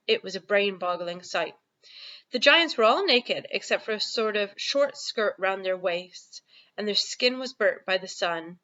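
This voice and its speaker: A woman narrates a storybook for children in an expressive teacher's style. Her voice is bright and forceful, at a middling pitch. The home recording is slightly dull. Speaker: primary school teacher reading aloud.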